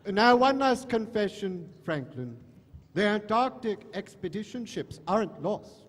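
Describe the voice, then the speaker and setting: In a big echoing hall, a man performs a monstrous alien in professor's disguise for an audio drama. The voice is high and very animated. He gloats theatrically, with mock courtesy and dry wit. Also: measured pace, full character voice. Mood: gleeful menace. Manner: theatrical, droll, mock-polite. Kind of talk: live stage audio drama. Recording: echoey hall stage recording.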